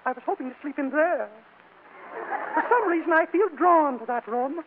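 A man in a 1940s radio comedy playing a man disguised as his prim, touchy sister, in falsetto with a genteel, coy, fussy manner. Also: animated, moderate pace, phone-quality sound. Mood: coy, sweet. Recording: noisy, band-limited old radio recording.

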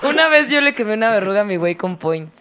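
A woman's voice: mid-range voice, expressive, a bit bright, clear, resonant tone. A young Mexican woman co-hosting a podcast, telling stories in a casual, laughing way. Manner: fast, laughing, storytelling. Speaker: podcast co-host. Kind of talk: casual chat.

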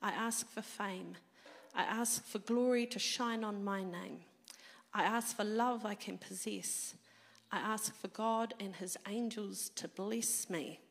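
A woman preaching a sermon, her voice a little croaky from a cold, drawing the congregation in with questions. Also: mid-range voice, slow pace, long reverb tail. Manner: animated, engaging the congregation.

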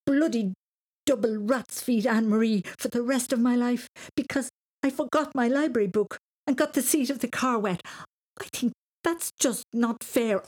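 A woman's voice: a little high, darkish tone, breathy edge, pitched above the performer's own voice, full character voice. A woman voicing an earnest, anxious young boy who gets easily upset, in a children's audiobook. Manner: exclaiming, plaintive, hesitant.

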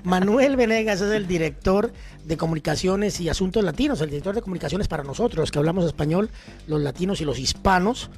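Radio host guy, high voice, jokey and friendly, faint music behind. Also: fairly quick, a little mellow. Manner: jovial, banters and asks simple questions. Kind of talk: studio interview hosting. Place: studio table.

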